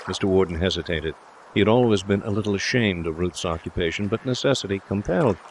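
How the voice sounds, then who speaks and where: A man's voice: deep voice, quick pace, a little hiss or hum. A man reading a story aloud as an audiobook narrator, in scripted narration.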